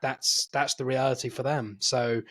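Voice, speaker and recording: A man's voice: mid-range voice, fairly even intonation, normal speed, fairly bright tone. A man in casual podcast chat, pushing back and arguing his point. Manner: interrupting, argumentative. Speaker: podcast co-host.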